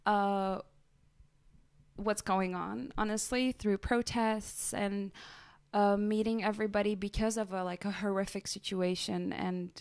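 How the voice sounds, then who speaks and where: A woman's voice: medium pitch, fairly even intonation, unhurried, a little mellow, clear, resonant tone, no echo. A young woman from Syria and the Czech Republic co-hosting a radio show in a casual, conversational way.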